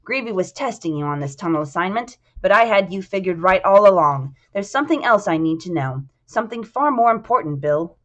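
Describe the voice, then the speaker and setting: A woman voicing a confident, commanding male business boss from a novel, brisk, assured and formal. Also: fairly low pitch, expressive, lightly acted. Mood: assured, serious. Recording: dull lo-fi sound.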